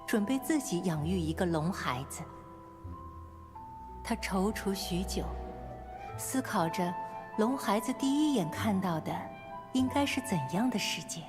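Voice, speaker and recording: A gentle female storybook narrator, played by an actress, tells a scripted fairy tale for a video game. Her voice sits at a middle pitch, with music clearly behind it. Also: lively intonation, unhurried, pauses often, close to an everyday voice. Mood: storytelling.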